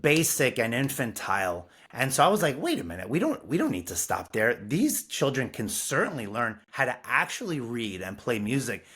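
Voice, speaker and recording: A man's voice: medium pitch, sing-song, moderate pace, hardly any pauses, fairly bright tone, husky. A man from Brooklyn, a music curriculum founder talking earnestly and animatedly as a podcast guest. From Brooklyn, New York. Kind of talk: casual interview talk.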